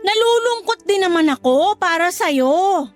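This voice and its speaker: An outspoken young sister in an audio drama. Her voice sits very high and bright, and she talks bluntly, then turns tender and reassuring. Music plays softly beneath her. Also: animated, unhurried. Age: young adult. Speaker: younger sister and letter sender. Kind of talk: radio drama acting and letter narration. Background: soft music under dialogue, louder music under narration.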